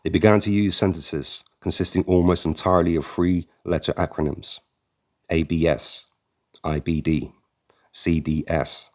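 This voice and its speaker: Super deep-voiced guy reading a memoir audiobook, steady and measured. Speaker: audiobook narrator. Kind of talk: scripted memoir narration.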